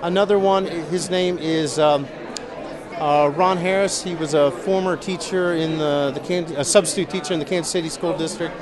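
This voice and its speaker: A man in his forties, a political candidate, speaks with a fairly high voice, earnest and halting, stumbling over repeated words. He is interviewed in a large indoor convention hall. A busy crowd murmurs all around him. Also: steady tone. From Missouri. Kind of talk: on-the-spot interview. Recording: busy crowd noise behind. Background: crowd chatter.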